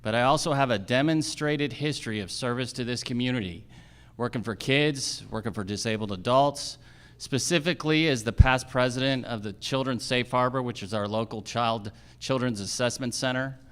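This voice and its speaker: A man's voice: mid-range voice, moderate pace, a bit bright, smooth. A man speaking as a judicial candidate, giving a campaign speech at a live forum. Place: theater, live event.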